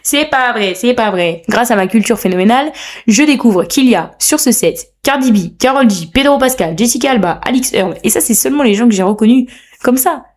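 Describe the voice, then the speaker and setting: A woman's voice: a little high, lively intonation, a little fast. A young woman talks through her podcast alone from a room at home. She is chatty and playful, teases herself and gushes with enthusiasm. Her throat is a little sore. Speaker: podcast host. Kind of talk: casual solo podcast commentary.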